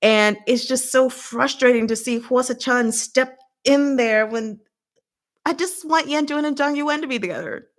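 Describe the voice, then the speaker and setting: Chatty, expressive woman hosting a book recap. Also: sits high, measured pace. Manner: chatty, expressive, giggly, slangy. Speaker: host of a live book recap and discussion. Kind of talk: casual fan commentary to a live audience.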